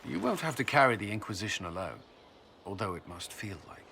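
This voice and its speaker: A man's voice: fairly low pitch, big pitch swings, brisk, creaky, sharp tone, a little hiss or hum, exaggerated voice. A man playing an earnest, stern military commander in a fantasy video game, speaking in a clipped, formal, authoritative way. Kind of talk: video game cutscene acting.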